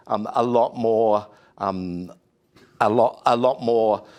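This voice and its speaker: An Australian man speaking at a conference panel, measured, with many "um"s and restarts. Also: medium pitch. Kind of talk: conference talk.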